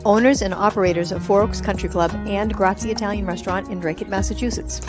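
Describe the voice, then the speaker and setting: A woman's voice: mid-range voice, fairly even intonation, quick pace. A woman reading a scripted radio ad as a restaurant owner. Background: music bed.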